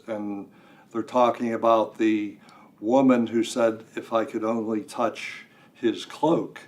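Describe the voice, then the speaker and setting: An older man chatting as an interview guest, talking in a halting way with self-deprecating humor. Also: medium pitch, a little mellow. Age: 60s or older. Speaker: guest writer. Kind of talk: casual interview. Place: radio studio.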